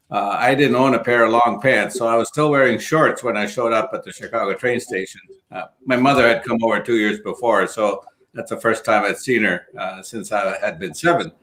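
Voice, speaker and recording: Brief: reflective guest in his fifties, mid-pitched male voice, from Sri Lanka, on a video call. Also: a bit bright. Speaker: guest, financial advisor. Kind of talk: interview conversation.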